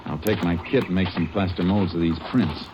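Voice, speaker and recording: An actor playing a calm, methodical male lawman detective who also narrates, matter-of-fact, in an old radio drama recording. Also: deep voice, a little fast, highs cut off, heavy background noise, natural voice. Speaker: Texas Ranger investigator.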